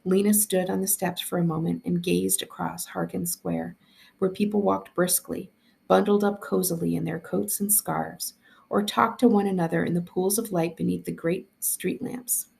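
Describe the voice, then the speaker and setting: A woman reading a story aloud as a school librarian, in an expressive narrating style. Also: fairly low pitch, moderate pace, creaky.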